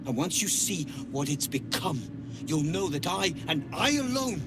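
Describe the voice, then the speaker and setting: An actor playing a proud, ambitious gnome leader in a video game, speaking in a formal, declamatory way. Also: male voice, a little high, big pitch swings, moderate pace, noisy background. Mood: grim, grandiose. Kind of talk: video game character dialogue.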